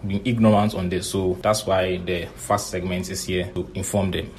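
A man's voice: low voice, flat delivery, normal speed, slight background noise. A Ghanaian man presenting a short fact segment on a podcast, reading from a script. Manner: reads from a script.

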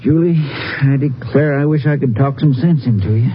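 A medium-pitched man an actor plays a blunt, folksy Texas sheriff, insistent and plain. It is 1950s radio crime drama, on a dull recording with clear noise. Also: moderate pace, close to an everyday voice. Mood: stern, exasperated.

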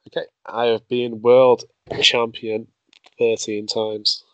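A man's voice: mid-range voice, little pitch movement, slow, a bit bright, clear, resonant tone, no echo, poor audio. A man hosting a casual quiz game, reading clues aloud in first person and laughing often. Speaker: quiz host.

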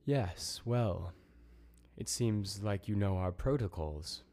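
A man's voice: fairly low pitch, slow, dark tone. A man voicing a stern but respectful human ambassador, formal and courteous, in a story. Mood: uneasy, formal.

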